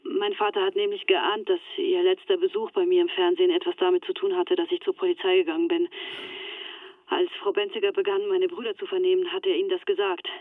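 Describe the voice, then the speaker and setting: A woman's voice: on the low side, flat delivery, fairly quick, no room sound. An actress playing an anxious, resigned young woman in a German radio drama, speaking earnestly through a telephone filter. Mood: urgent, sober. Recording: thin, band-limited telephone line.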